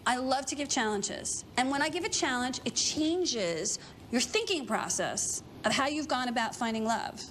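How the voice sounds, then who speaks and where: A woman's voice: high-pitched, expressive, moderate pace, fairly bright tone, a little hiss or hum. A middle-aged woman working as a matchmaker, talking bluntly and pushily, teasing her clients. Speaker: matchmaker host. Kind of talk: reality TV coaching and narration. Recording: music underneath.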